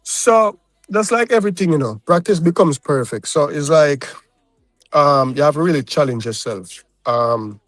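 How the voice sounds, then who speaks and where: A man's voice: fairly high pitch, animated, moderate pace, fairly bright tone, no room sound. Laid-back Jamaican man in dry interview. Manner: laid-back, peppers talk with "you understand?". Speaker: recording artist guest. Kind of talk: casual interview talk.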